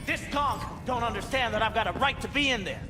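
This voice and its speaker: Very high-pitched, belligerent angry clubber. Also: male voice, fast. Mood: outraged. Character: entitled, hot-headed male club patron. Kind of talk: video game dialogue. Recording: echoey room, music behind.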